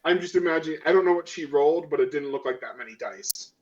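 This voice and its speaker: A man's voice: a little high, quick pace, a little mellow. A man chatting casually as a player in a tabletop role-playing game. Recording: dull lo-fi audio.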